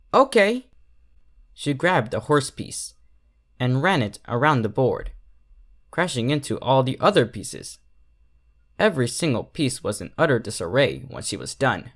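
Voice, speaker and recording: A man reading a story aloud as scripted narration. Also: medium pitch. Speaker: fan fiction reader.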